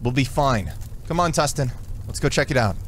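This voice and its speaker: An actor playing an eager, stubborn young soldier in a fantasy audio drama. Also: male voice, mid-range voice, expressive, moderate pace, a little hiss or hum, close to an everyday voice. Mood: excited, insistent.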